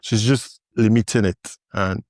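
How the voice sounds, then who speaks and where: A man's voice: on the low side, steady tone, moderate pace, a little mellow, no room sound, studio quality. A man hosting a podcast in casual conversation, thoughtful, with many fillers and restarts. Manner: thoughtful, lots of fillers and restarts, laughs easily. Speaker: podcast host.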